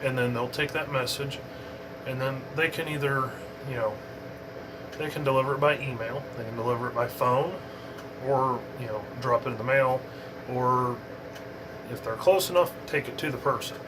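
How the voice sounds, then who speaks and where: A man giving a casual, unscripted explainer in a halting, rambling, informal way. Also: mid-range voice, noisy background. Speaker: amateur radio hobbyist giving an introduction.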